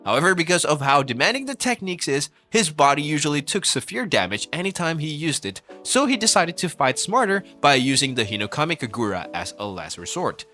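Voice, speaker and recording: Male narrator for scripted explainer: lively, fairly high-pitched delivery, clear music underneath. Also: a little fast, few pauses. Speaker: narrator of an anime explainer video. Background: background music.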